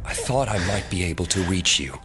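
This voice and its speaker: An actor playing a solemn, quietly menacing psychic in an audio drama, speaking in portentous statements. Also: male voice, sits low, very animated, brisk, exaggerated voice. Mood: earnest, regretful.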